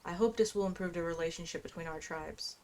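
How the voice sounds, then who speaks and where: A woman's voice: on the low side, fast, darkish tone. A woman doing casual gameplay commentary, chatty and musing as she plays and reads quest text aloud. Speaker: gameplay streamer. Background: faint game music.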